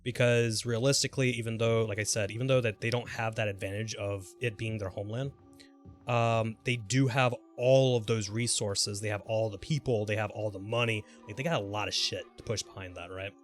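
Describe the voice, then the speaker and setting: A man livestreaming a video game, chatting casually and thinking aloud in a halting, mildly sweary way. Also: fairly low pitch, fairly even intonation. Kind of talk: casual gameplay commentary and rambling chat. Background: music.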